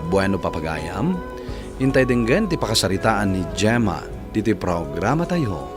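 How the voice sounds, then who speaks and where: A man's voice: on the low side, sing-song, normal speed. A man hosting a radio drama, reading a listener's letter in scripted narration. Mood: solemn, presenting. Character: earnest host reading a listener's letter aloud. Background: music.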